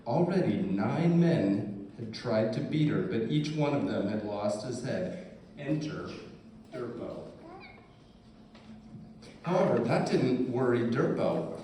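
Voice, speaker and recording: Echoey, slow-paced man narrating a story. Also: mid-range voice, animated, warm tone. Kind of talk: scripted puppet show narration read aloud. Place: indoor live puppet show, far from the mic. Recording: distant, echoey, noisy live room.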